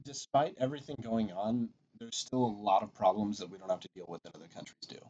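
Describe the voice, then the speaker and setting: Chill young guy cohost, low voice, lots of pitch swing, dry jokes. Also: lively intonation, quick pace, warm tone, dull audio. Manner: laid-back, agreeable, dry humor. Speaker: podcast co-host. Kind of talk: casual chat.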